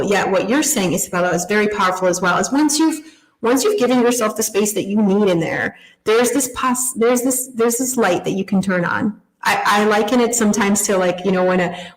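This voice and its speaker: A woman guest on a podcast, with a mid-range voice and a roomy echo around her. She explains ideas thoughtfully, using gentle everyday images. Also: medium pitch. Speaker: guest, author and speaker. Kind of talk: casual podcast interview.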